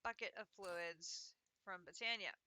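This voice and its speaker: A woman's voice: fairly low pitch, a little slow, pushed and bright, slight background noise. A congested woman streamer casually walking viewers through her game progress. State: congested from allergies. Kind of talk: casual live game commentary.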